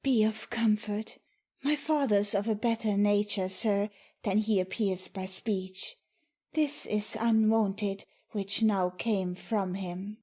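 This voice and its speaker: A woman playing a gentle, reassuring young noblewoman in a dramatic Shakespeare audiobook. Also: mid-range voice, steady tone, slow. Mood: comforting.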